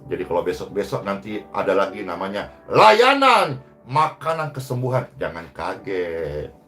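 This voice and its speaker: Male pastor, lively swinging intonation, emphatic exhorting sermon delivery, hushed phrase endings, faint music in the background. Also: sits low, normal speed. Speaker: pastor, preacher.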